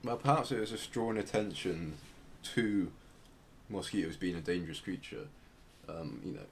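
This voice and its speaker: A man hosting a podcast, explaining things casually in a hesitant, measured, dry way. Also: low voice, lively intonation. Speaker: podcast host presenting outsider music. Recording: clear background noise.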